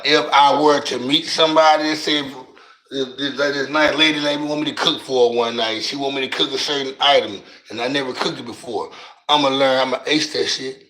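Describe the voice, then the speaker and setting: A middle-aged man with a raspy voice and a Louisiana accent, chatting on his livestream in slangy, rambling talk and often tripping over his words. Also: medium pitch, pushed and bright, echoey room, low-quality recording. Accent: Louisiana Southern US. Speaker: livestream host. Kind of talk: casual livestream chat.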